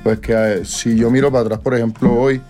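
A man's voice: mid-range voice, steady tone, brisk, mellow, soft background music. A Colombian man in his twenties, a singer talking casually in an interview, rambling with slang and restarts. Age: 20s.

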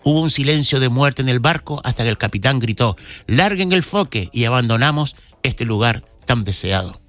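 A man's voice: medium pitch, animated, normal speed, fairly bright tone, no room sound. A man, a cultural commentator telling a local history story on a radio segment, with frequent restarts.

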